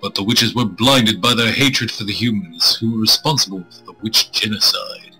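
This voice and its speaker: A man streaming a visual novel, reading it aloud with crude, irreverent joking asides, in a husky voice. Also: lowish voice, moderate pace, bright, forceful tone, poor audio. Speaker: game streamer. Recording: music playing behind the voice.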